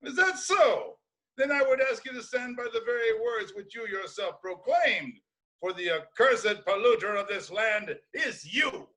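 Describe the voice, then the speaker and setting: Man acting a high, sharp, defiant blind prophet. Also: animated, unhurried, exaggerated voice. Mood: defiant, accusing. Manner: declamatory, defiant, ominous. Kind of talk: dramatic classical Greek tragedy reading.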